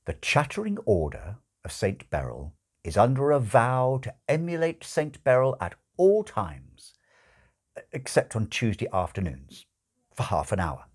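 Expressive older man reading comic fiction. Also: medium pitch, husky. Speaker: audiobook-style reader. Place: small furnished room at home, indoors.

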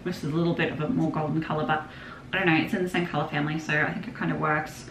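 Young aussie woman rambling about her shopping, bit low voiced, echoey room, noisy background. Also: little pitch movement, rapid-fire. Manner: chatty, rambling, with frequent laughs and restarts. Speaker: vlogger. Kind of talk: casual shopping haul chat. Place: home craft room, indoors.

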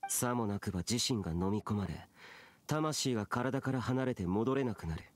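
A man playing an aloof, youthful immortal warrior in an anime game, speaking gravely and curtly. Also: fairly low pitch, lively intonation, normal speed, lightly acted. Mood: solemn, instructive. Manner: curt, grave, stern. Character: solemn, aloof immortal warrior guardian, young-looking man. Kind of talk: Japanese anime game voice acting. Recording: game music behind.

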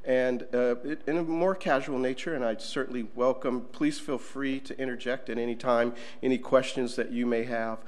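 A man's voice: mid-range voice, steady tone, moderate pace, few pauses. A middle-aged man giving a conference presentation in a casual, conversational way, with fillers, at a table microphone in a conference room. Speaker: conference speaker.

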